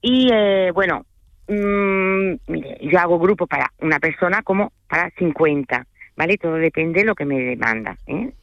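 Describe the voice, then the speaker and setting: A woman's voice: fairly low pitch, animated, normal speed, vocal fry, dry recording. A woman from France who speaks French natively, a tour guide and agency manager, talking hesitantly with many fillers and restarts in a radio interview. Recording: dull lo-fi radio sound.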